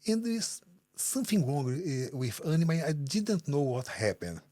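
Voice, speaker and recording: A man from Brazil with a Brazilian Portuguese accent, a native Portuguese speaker and interview guest, speaking in halting English and searching for words with many restarts. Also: on the high side, very animated, dark tone, dry recording. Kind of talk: interview answers.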